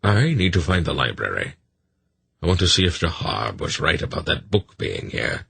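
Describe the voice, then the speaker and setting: A man voicing a terse, practical old sorcerer in a fantasy audiobook, brisk and matter-of-fact. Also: rumbling low, very animated, vocal fry, bright, forceful tone, slightly rough, no echo, heavily acted. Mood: purposeful.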